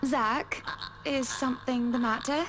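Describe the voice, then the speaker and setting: An actress playing a gentle, concerned young woman in a visual novel. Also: high voice, steady tone, a little slow, music in the background.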